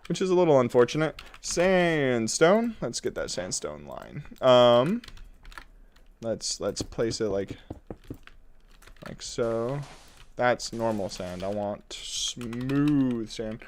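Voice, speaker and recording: A man's voice: medium pitch, expressive, takes it slowly. Congested, playful man streaming a build. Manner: playful, rambling, chuckles often. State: congested, runny nose. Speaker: game streamer. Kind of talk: casual live-stream chat while building.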